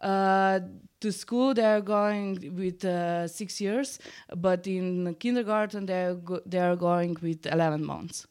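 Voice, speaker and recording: Slow, clear-voiced Slovenian woman. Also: on the low side, fairly even intonation, darkish tone. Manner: halting, simple non-native English. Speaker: teacher being interviewed. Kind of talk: unscripted interview answers.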